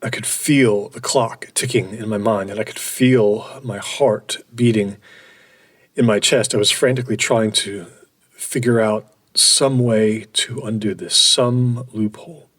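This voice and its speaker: Audio drama: burned-out office man in his forties, slightly husky voice, halting confessional narration. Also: fairly low pitch, steady tone. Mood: anxious, halting. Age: 40s. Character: burned-out, anguished middle-aged office man recounting his breakdown.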